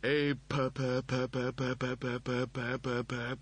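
Storybook mechanoid servant, male reader: anxious, apologetic, hesitant, unhurried delivery with lively intonation. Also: mid-range voice, husky, full character voice. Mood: nervous, halting. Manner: deferential, hesitant, halting.

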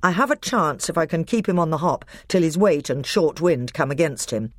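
A woman voicing a brave, commanding young high king in a storybook reading. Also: animated, normal speed, no room sound, clean studio sound. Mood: brave farewell.

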